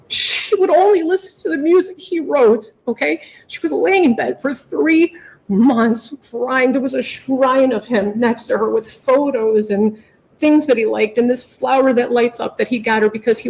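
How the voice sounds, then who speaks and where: A woman's voice: fairly high pitch, darkish tone, a little hiss or hum, poor audio. A middle-aged woman making an emotional, unscripted plea to a judge, emphatic and often repeating herself. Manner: pleading, repetitive, emphatic. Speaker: mother pleading in court for her daughter.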